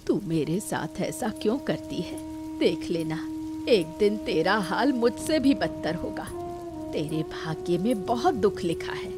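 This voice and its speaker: A woman's voice: fairly low pitch, animated, unhurried, music in the background. A woman voicing a poor, wronged old mother-in-law in a cartoon melodrama, plaintive and aggrieved. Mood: plaintive, hurt. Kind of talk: Hindi cartoon family melodrama.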